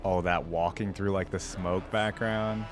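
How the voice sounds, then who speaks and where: A man hosting a reaction video, giving informal, excitable commentary and thinking aloud as he goes. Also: low voice, measured pace, over music. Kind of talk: casual reaction commentary with some reading aloud.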